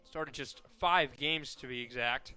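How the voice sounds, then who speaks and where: An American man calling live softball play-by-play as a radio announcer, conversational and ready to lift with the action. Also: mid-range voice, measured pace, smooth, soft background music. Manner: conversational between plays, excited calls on action.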